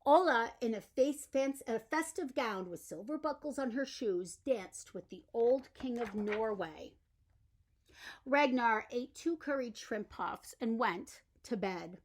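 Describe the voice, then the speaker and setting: A woman reading a bedtime story aloud in an expressive storytelling style. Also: on the high side, slow pace, slight background noise. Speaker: storyteller reading a picture book.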